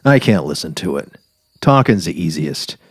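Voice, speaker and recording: Low-voiced, bitter dying writer played by a man. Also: big pitch swings, moderate pace, long reverb tail. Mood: sardonic, resigned. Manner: sardonic, resigned, quarrelsome. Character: bitter, weary dying male writer on safari. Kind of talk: dramatic reading of literary fiction.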